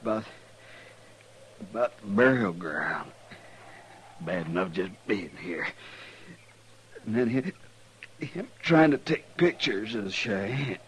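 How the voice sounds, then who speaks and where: Wounded gunman, halting and low. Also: lively intonation. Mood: pained, frightened. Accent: American. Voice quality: weak, strained. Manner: halting, stammering, rustic. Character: badly wounded frightened Old West hired gunman, fading. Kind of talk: 1950s radio western acting. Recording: noisy 1950s radio recording.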